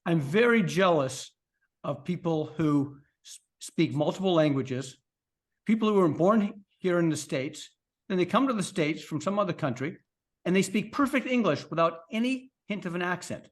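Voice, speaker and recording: A fairly high-pitched man leads a podcast interview with eager, chatty questions. He trips over his words and restarts his sentences as he goes. The tone is friendly and talkative. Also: animated, moderate pace. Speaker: podcast interviewer, league co-founder.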